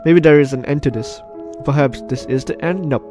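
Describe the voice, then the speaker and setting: A man's voice: a little high, fairly quick. Man doing game commentary over music. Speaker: gaming host. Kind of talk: let's play, reading game text aloud with reactions.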